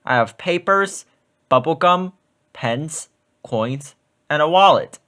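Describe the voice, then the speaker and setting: A man's voice: on the high side, animated, slow, sharp tone, heavily acted. A man voicing a forgetful, flustered male schoolteacher in a children's storybook, with a fretful manner. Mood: matter-of-fact.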